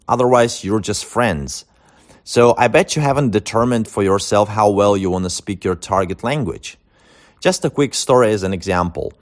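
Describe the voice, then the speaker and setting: A man hosting a language learning video, giving tips in a scripted monologue with wry jokes and deadpan punchlines. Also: lowish voice. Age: adult, older than the internet era.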